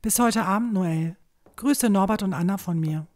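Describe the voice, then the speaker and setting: A woman playing a gentle, reassuring village mother with a soothing, measured delivery in a fantasy audio drama. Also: mid-range voice, natural voice. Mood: grateful, fond.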